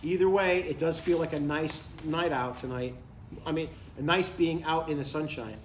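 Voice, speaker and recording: A man's voice: on the high side, steady tone, moderate pace, natural voice. Man playing an earnest older dad, echoey room. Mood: upbeat, earnest. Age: 60s. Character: earnest, stubborn sixty-two-year-old father chasing a writing career. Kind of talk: live comedy script table read. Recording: echoey live room, distant mic, faint noise.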